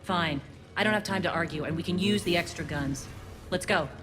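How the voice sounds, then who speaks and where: A low-pitched alien woman voiced by an actress leads a resistance gang in a sci-fi game. She is resolute and guarded, speaking with formal, earnest firmness. Loud music runs behind her. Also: a little fast, natural voice. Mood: curt, commanding. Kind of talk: video game voice acting. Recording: loud music under dialogue.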